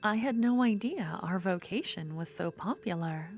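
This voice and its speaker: A woman playing an obsessive, unhinged female duelist rival in an ASMR fantasy roleplay, speaking intimately and often slipping toward a breathy whisper. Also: mid-range voice, animated, normal speed, a little mellow, faint music behind, close to an everyday voice. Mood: brooding, gloating.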